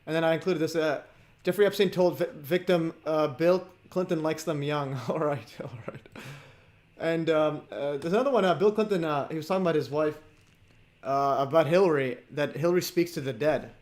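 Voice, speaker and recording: A man hosting a livestream, chatting casually with many fillers and pauses. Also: fairly high pitch, darkish tone. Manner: filler-heavy, chatty, laughs often. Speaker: host.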